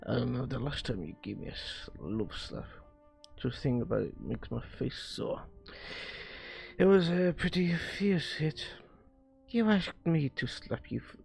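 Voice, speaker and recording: A man with a gravelly, fry-heavy voice reads visual novel dialogue aloud on a stream. He takes it slowly and haltingly, stumbling and rereading lines. Faint music plays behind him. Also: mid-range voice, lively intonation, darkish tone.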